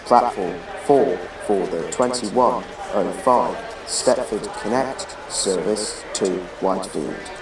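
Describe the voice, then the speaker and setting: A man reading scripted train announcements as a railway station announcer, in measured, list-like phrasing. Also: lowish voice, a crowd in the background. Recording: echoey, noisy, distant station PA sound.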